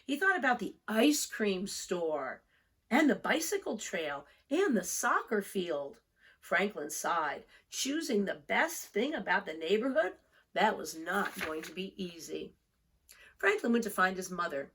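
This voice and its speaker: A woman reads a children's picture book aloud. Her intonation is animated and swings widely. Her tone is a little husky, and she phrases deliberately for small listeners. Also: mid-range voice. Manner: deliberate, storytelling emphasis. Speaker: storybook reader. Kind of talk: expressive read-aloud for young children.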